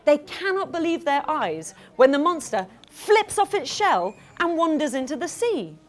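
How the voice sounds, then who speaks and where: Animated, high-voiced woman storyteller. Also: measured pace. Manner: playful, expressive. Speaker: children's author reading aloud. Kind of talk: animated storytelling to a live audience of children.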